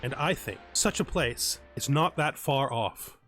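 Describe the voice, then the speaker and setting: A man's voice: medium pitch, animated, a little slow, fairly bright tone, soft background music. A man voicing a boastful, scornful young male bandit boss who reads from his own journal. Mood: defiant. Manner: proud first-person journal reading.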